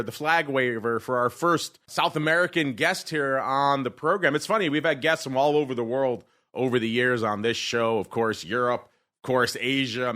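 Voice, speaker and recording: A man's voice: medium pitch, sharp tone. A man hosting a podcast, introducing and questioning a guest in polished, wordy broadcaster phrasing. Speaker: podcast host. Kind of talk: scripted show host talk.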